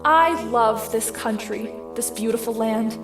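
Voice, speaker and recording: A woman's voice: fairly high pitch, a little slow, close to an everyday voice. A woman playing an impassioned activist, giving a grave, declamatory political speech for a propaganda ad. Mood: proud, reverent. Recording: distant voice under loud music.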